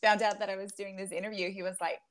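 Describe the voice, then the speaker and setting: A woman hosting an interview podcast, talking in an effusive way and quick to exclaim. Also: medium pitch, level tone. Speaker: podcast host. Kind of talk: casual interview chat.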